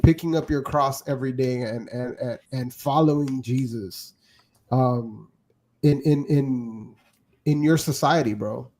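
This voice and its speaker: A man's voice: medium pitch, measured pace, a little mellow. A man hosts a casual podcast chat in a mid-range voice. He asks wandering questions padded with fillers. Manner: rambling, filler-heavy questions. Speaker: podcast host.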